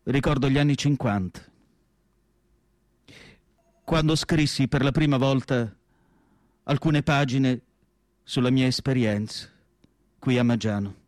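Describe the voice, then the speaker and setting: An actor playing a reflective, melancholic asylum doctor writing his diary, in a solemn, measured radio drama monologue with deliberate pauses. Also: mid-range voice, a little mellow, no room sound, clean studio sound, lightly acted. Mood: reflective, recollecting.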